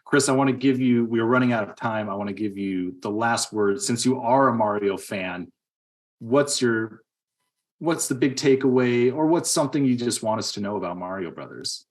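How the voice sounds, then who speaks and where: A male podcast host with a mid-range voice chats about films. His delivery is casual and musing, halting as he feels his way through a thought. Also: mid-range voice, animated. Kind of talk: casual film discussion.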